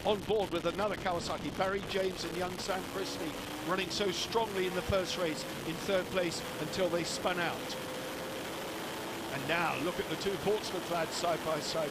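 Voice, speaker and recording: A man giving live motorsport commentary, excitable and quick to lift his voice at each incident on track. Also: sits high, creaky. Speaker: race commentator. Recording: loud engine noise behind. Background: racing engines.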